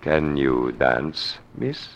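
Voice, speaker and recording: A mad puppeteer in a 1940s radio thriller, gloating and bitter. The low voice creaks and swoops theatrically through drawn-out phrases, on an old recording with faint noise. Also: male voice, very animated, muffled recording, full character voice. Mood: gloating menace. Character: mad, menacing male puppeteer, strong-handed and weak-legged, gloating, bitter.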